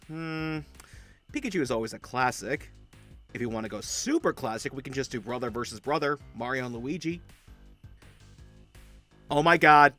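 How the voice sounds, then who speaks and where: A man's voice: on the high side, exaggerated voice. A man voicing a friendly, chatty young male werewolf who talks in teasing gamer slang in an audio roleplay. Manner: slangy, hushed-to-excitable, teasing.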